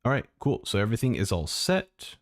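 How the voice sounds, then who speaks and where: A man's voice: medium pitch, big pitch swings, brisk. A man chatting casually and thinking aloud as a live streamer reacting to game trailers. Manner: rambling, informal, thinking aloud.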